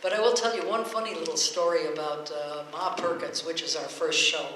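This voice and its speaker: Bright-voiced man hosting in an echoey hall. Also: fairly high pitch, fairly even intonation, fairly quick. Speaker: host introducing a live radio play show. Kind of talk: casual stage introduction, anecdotal. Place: stage before a live audience. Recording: very echoey hall, faint noise.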